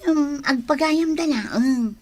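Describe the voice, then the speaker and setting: A woman's voice: high-pitched, slow, a little mellow. An actress with an Ilocano Filipino accent playing a teasing, frank, nosy woman who gives advice in a radio drama.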